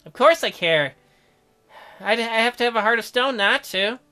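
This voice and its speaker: A man voicing a gentle, flustered doctor in a visual novel, stammering and hesitant as he talks. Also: extremely high pitch, animated, normal speed, faint music behind, voice pushed up, heavily acted. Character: gentle, flustered male doctor, self-described old man.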